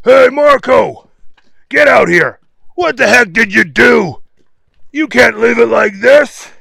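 Guy putting on an angry bossy crook voice for a kids story, loud and demanding, pitched way up. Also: expressive, slow pace, creaky, no echo, heavily acted.

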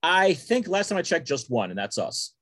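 A man from Texas, co-hosting a sports podcast in a relaxed, easygoing way. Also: a little high, normal speed, dry recording, clean studio sound. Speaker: podcast co-host. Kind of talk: casual sports podcast chat.

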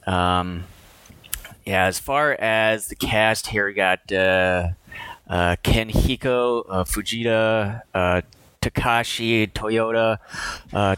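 A man co-hosting a film podcast, talking casually in a halting way with many fillers. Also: lowish voice, a bit bright, clear, resonant tone, no room sound. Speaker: podcast co-host. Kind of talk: casual chat.

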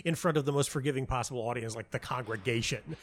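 An American man in a relaxed, reflective interview conversation. He talks as a guest telling stories, circling back, repeating words and breaking off mid-phrase. His voice sits at an ordinary middle pitch for a man. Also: slight background noise. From United States (North Carolina). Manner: halting, self-interrupting, rambling storyteller with repeated words. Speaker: interview guest, playwright and audio dramatist. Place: hotel lobby.

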